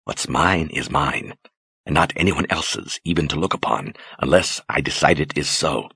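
A man voicing a cold, aloof sorceress who speaks with slow, quiet menace. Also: extremely low pitch, steady tone, slightly rough, dry recording, studio quality, heavily acted. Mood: icy.

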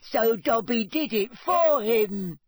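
A man voicing a small, servile house elf in an audiobook, squeaky, urgent and earnest, speaking deferentially of himself in the third person. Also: animated, slow pace, no echo, clean studio sound, exaggerated voice. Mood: anxious, insistent. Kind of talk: audiobook character voice.

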